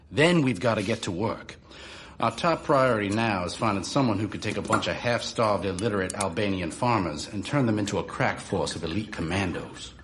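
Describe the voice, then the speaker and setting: Brash, blustering spy boss. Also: male voice, on the low side, a bit bright, breathy edge. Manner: blunt, informal, blustering. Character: brash, blustering male American spy boss, hard-drinking. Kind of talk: radio drama dialogue.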